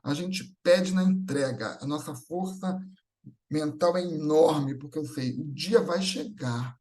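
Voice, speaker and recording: A man's voice: on the high side, measured pace, darkish tone. A man with a Brazilian Portuguese accent giving a semi-improvised spiritual talk, teaching with repeated phrases and short tag questions. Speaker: speaker at a Spiritist gathering.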